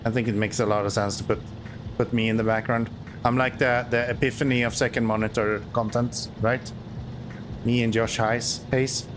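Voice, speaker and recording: A man's voice: mid-range voice, level tone, moderate pace, noisy background. A Swedish-accented man whose first language is Swedish, chatting casually and sarcastically while streaming a driving game. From Sweden. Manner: joking, self-mocking, sarcastic. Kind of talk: casual chat while playing.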